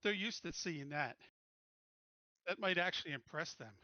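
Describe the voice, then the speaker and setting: A man with a high, bright voice plays a tabletop game, chatting casually. His pitch moves in a lively way, and the audio is dry but slightly dull. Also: normal speed, dry recording.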